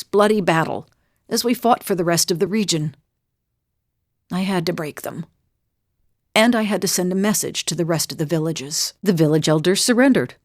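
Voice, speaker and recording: Low dry-recorded game voice, actress as a world-worn middle-aged female ex-pirate, wry and guilt-burdened. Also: dry recording, close to an everyday voice. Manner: wry, confiding, plain-spoken. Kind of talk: video game character dialogue.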